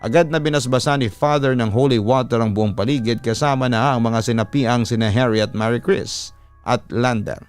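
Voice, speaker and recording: A man's voice: mid-range voice, moderate pace, smooth, soft background music. A man, a radio announcer, reading a first-person horror story as dramatic narration.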